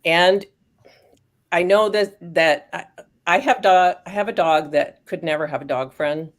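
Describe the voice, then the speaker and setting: A woman speaking as a guest expert on aging dogs, explaining research in a thoughtful, casual way with frequent pauses and restarts. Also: fairly low pitch. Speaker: guest expert on canine cognitive decline.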